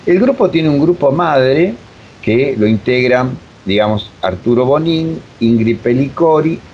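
An Argentine man explaining his work as a director in an interview, haltingly and repeating words as he goes. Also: mid-range voice, lively intonation. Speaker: radio drama director being interviewed. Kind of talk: unscripted interview answers. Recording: dull lo-fi audio, faint hiss.

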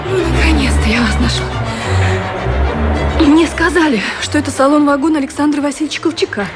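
A woman's voice: sits high, fairly quick, loud music behind the voice, lightly acted. An actress playing a refined, ardent woman in love, emotional and theatrical, in a Russian period radio drama. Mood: anxious. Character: Anna, refined, ardent woman in love, early 20th century.

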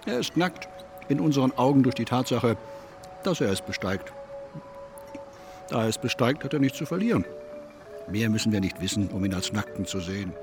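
An actor playing a cynical, coolly provocative man in a stylised radio play, smug, needling and deliberate. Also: medium pitch, animated, over music, close to an everyday voice. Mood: detached, declamatory.

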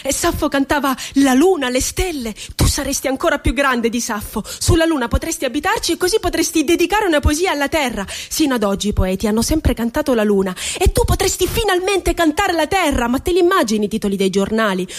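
An actress performing a theatrical monologue as an impassioned, rebellious, poetic woman, declaiming with deliberate, emphatic phrasing. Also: high-pitched, expressive. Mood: dreamy, excited. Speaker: stage actress.

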